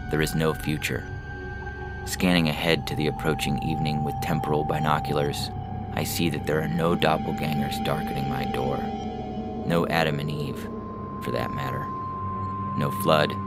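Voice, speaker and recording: A man reading a literary story as a narrator in a measured, solemn delivery. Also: rumbling low. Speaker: narrator and podcast host. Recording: music behind the voice. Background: music bed.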